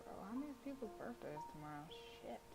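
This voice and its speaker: A woman's voice: a little high, quick pace, over loud music. A woman streaming a visual novel, reading the story aloud and reacting with brash, profane, slangy commentary. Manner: brash, animated and profane, with slangy trash talk.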